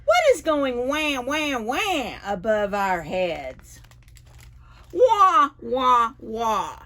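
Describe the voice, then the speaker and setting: A woman's voice: on the high side, big pitch swings, very slow, bright, forceful tone, heavily acted. A woman voicing a baffled young storybook boy kept awake by noisy neighbours, exclaiming and imitating noises in singsong. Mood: loud, puzzled.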